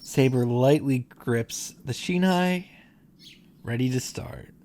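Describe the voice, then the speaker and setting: A man's voice: mid-range voice, slow pace, dark tone, slight background noise. A man giving blunt, mocking, sweary reaction commentary on a visual novel. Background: game music.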